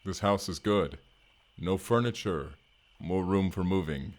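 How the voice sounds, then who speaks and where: A man's voice: deep voice, a little slow, heavy background noise. A man playing an earnest wasteland barbarian turned corporate fighter in an audio roleplay, speaking in stilted, literal, short sentences. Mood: content, simple. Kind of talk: immersive first-person audio roleplay acting.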